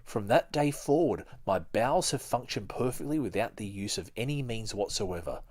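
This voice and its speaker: A man's voice: on the low side, animated, moderate pace, a little mellow. A man hosting a podcast, reading aloud and talking informally as he goes. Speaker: podcast host. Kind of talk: reading a book aloud with casual commentary.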